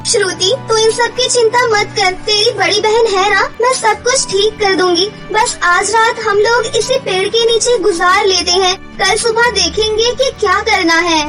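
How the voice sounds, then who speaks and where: A woman's voice: very high-pitched, measured pace, hardly any pauses. A woman voicing an earnest, polite young working woman in a cartoon story, with a respectful, sincere manner. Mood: reassuring. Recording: music under the voice.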